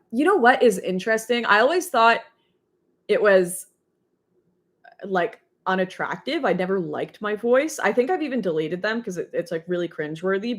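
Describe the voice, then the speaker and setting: A young woman with a deep voice, hosting a casual livestream and reading out chat messages. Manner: chatty, rambling, laughs often.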